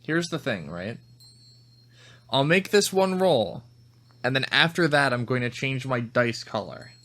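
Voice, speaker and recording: A man casually describing his character's moves as a tabletop game player, in halting, pieced-together phrases. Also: mid-range voice, expressive, fairly bright tone.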